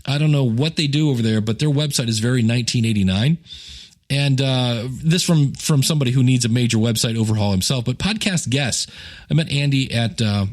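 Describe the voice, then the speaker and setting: A man's voice: medium pitch, brisk. A man hosting a podcast in a casual, chatty way, rambling into joking, self-deprecating asides. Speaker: podcast host and podcasting teacher. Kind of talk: casual solo podcast talk with plugs and announcements.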